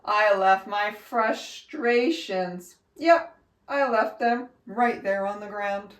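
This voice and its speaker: A woman reading a children's storybook aloud like a teacher, with expressive, emphatic and instructive delivery. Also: medium pitch, slow pace. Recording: echoey room, distant from mic.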